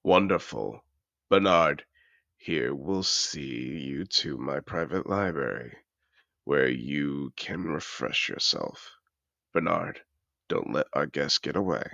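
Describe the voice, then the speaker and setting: A man's voice: very deep voice, lively intonation, slow pace, bright, forceful tone, no echo, muffled recording, full character voice. A man voicing a suave, seductive dragon disguised as a wealthy aristocrat in a fantasy audio roleplay, speaking in a courtly, formal way. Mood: brisk, pleased. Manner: courtly, formal, smooth-talking.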